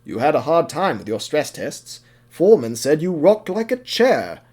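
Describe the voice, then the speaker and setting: A man voicing a shy, reserved and cautious steam engine in an audio drama, sober and measured. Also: a little high, wildly swooping pitch, darkish tone, breathy edge, heavily acted. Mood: worried, cautioning. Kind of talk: audio drama character acting.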